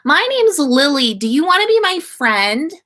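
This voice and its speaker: A woman plays a little girl in a story, pitched well up. The child is bold and friendly, with a sly streak. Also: very animated, moderate pace, sharp tone, full character voice. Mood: bright, eager.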